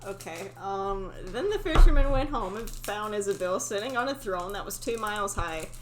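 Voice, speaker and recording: A woman's voice: medium pitch, expressive, moderate pace. A woman reading a fairy tale aloud, casually, with sweary asides of her own. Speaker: story reader. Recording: faint background noise.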